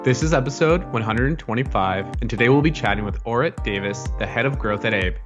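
A man co-hosting a podcast, reading a steady scripted intro. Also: mid-range voice, fast, over music. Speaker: podcast co-host.

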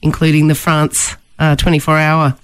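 A woman's voice: low voice, level tone, normal speed, clear, resonant tone. A woman hosting an interview, asking friendly, polite questions. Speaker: host.

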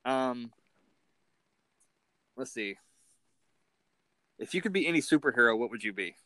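A man's voice: medium pitch, animated, a little slow, pushed and bright, no room sound. A man hosting a casual interview, informal and a little self-deprecating in the way he talks. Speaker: interview host.

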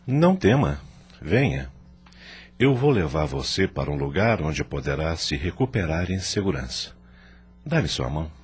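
An actor playing a calm, benevolent spirit guide in a radio drama, solemn and reassuring in a measured way. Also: male voice, extremely low pitch, slightly rough, exaggerated voice.

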